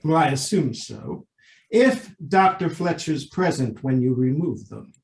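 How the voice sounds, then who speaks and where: Radio play role: blunt, grieving old father, seventies, distrustful and insistent, medium pitch, echoey room acted. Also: lively intonation, measured pace, darkish tone. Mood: stern, guarded. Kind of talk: radio drama acting.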